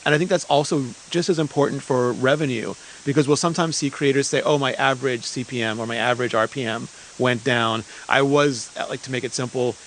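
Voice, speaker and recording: A man's voice: mid-range voice, brisk, hardly any pauses, a bit bright, slight background noise, poor audio. A man from Montreal interviewing in a casual, informal and enthusiastic way. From Montreal, Canada. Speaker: interviewer and creator liaison.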